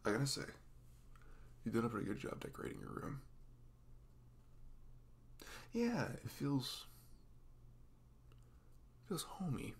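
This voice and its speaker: Male actor as goofy, sweet young boyfriend for intimate ASMR roleplay; gravelly, very animated delivery, halting and reassuring. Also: mid-range voice, exaggerated voice. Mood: sheepish, playful. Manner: halting, reassuring, casual, with soft chuckles. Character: sweet, goofy, self-conscious young boyfriend in new romance.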